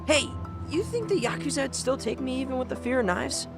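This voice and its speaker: A man's voice: very high voice, animated, brisk, creaky, music in the background, heavily acted. An actor playing a rebellious young man with an American accent in a game dub, casual, slangy and defiant. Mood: bitter, frank. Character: cocky, rebellious, foul-mouthed young man, troubled son. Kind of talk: video game dub acting.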